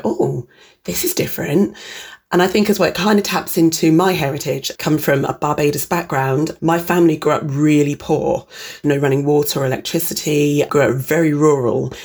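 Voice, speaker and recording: A woman's voice: sits low, fairly even intonation, brisk, vocal fry, darkish tone. A middle-aged woman broadcaster from the UK answering interview questions, reflective and enthusiastic as she tells stories.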